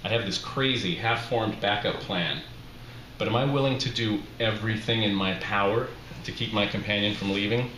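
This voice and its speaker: A middle-aged man reading a humorous personal story aloud at a live event, with a wry, deliberate storytelling delivery. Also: fairly low pitch, bright, forceful tone. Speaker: author reading his memoir aloud. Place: small bar with brick walls, live reading. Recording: roomy live bar recording, faint background noise.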